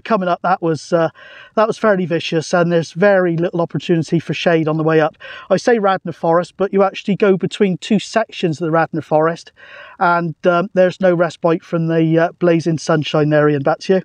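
A man's voice: a little high, fairly even intonation, a little fast, no room sound. A middle-aged man chatting casually outdoors as a vlogger and CB radio operator. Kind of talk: casual vlog talk to camera and radio chat. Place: outdoors on open hillside in countryside.

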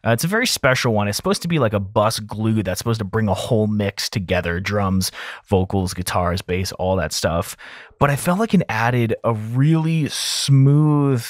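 A man talking informally and thinking aloud as an audio engineer in a software tutorial voice-over. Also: fairly low pitch, moderate pace, no echo, studio quality.

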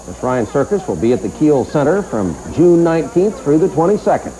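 American male news anchor, animated intonation, slightly high and relaxed, faint tape hiss. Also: brisk, low-quality recording. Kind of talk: scripted news narration. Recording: hissy 1990s VHS TV recording.

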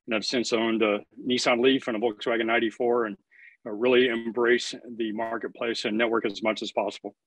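A man in his thirties speaks steadily and directly as an energy efficiency expert. The recording is dry, with no room sound around his mid-pitched voice. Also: little pitch movement, a little fast, dry recording, poor audio. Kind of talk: video call interview. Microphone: video call.

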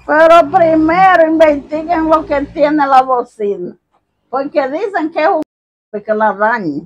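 A woman's voice: high voice, takes it slowly, lo-fi sound. A middle-aged woman chatting and exclaiming on a porch in a comedy sketch. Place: outdoor porch of a rural house.

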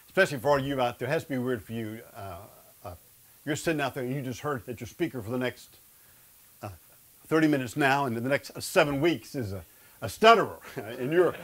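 A man's voice: medium pitch, fairly bright tone. A man in his fifties who stutters, giving a humorous sermon from a studio stage with a lapel mic. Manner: stutters, jokes about it. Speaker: speaker of a men's Bible study. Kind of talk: humorous sermon to a live audience. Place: studio stage before a seated audience, indoors.

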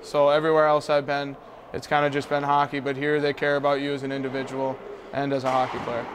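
A nineteen-year-old man from Minnesota, a junior hockey player, speaking flatly and steadily in an interview. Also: medium pitch, fast talker. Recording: clear rink noise behind.

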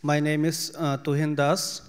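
A man in his thirties from Bangladesh, a panelist reading a prepared personal statement slowly and carefully, with some halting restarts, on a theater stage. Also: on the high side, flat delivery, smooth. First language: Bengali. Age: 30s. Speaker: panelist, exiled writer. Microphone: stand microphone at a panel. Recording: reverberant hall from a distance.

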